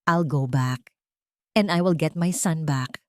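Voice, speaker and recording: Woman acting an earnest, resolute mother, low-voiced. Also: takes it slowly. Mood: hard, determined. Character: grieving, resolute young mother and nurse.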